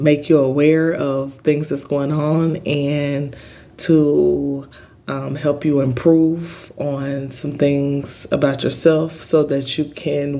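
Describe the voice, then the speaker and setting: A middle-aged man speaks earnestly about spiritual healing to his channel's viewers, pausing often on filler ums. His voice is big and pitched a little high for a man, with an even, level tone that barely rises or falls. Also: vocal fry. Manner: earnest, halting, many um fillers. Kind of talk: spiritual healing vlog monologue.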